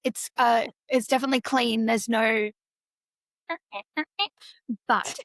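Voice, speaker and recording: A woman's voice: on the high side, steady tone, slow, no room sound. A woman with an Australian accent, chatting casually on a podcast with many fillers and little giggles. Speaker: co-host. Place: travelling, on a video call from abroad.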